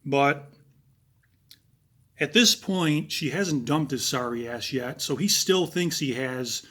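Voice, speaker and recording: A man with a raspy voice giving analytical true crime commentary, talking casually with pauses and fillers. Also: a little high, fairly bright tone.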